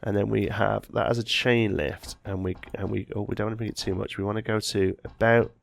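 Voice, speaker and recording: A man's voice: on the low side, steady tone, a little fast, vocal fry. Sniffly man musing over gameplay. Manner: thinks aloud, muttering and self-correcting while building. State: slight head cold, sniffly. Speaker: let's play host. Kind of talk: casual gameplay commentary.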